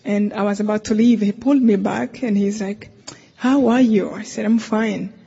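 Gravelly Rwandan woman in a hall. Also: medium pitch, steady tone, moderate pace. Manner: earnest, laughs often, hushed intimate delivery. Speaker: speaker. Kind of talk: faith testimony talk. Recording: lo-fi recording in a reverberant hall.

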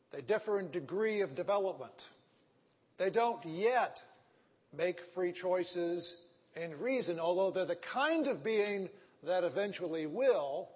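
Husky-voiced Texan man lecturing in a hall. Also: high-pitched. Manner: argumentative, checks in with frequent "right?" and "okay?". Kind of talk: ethics lecture. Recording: echoey hall.

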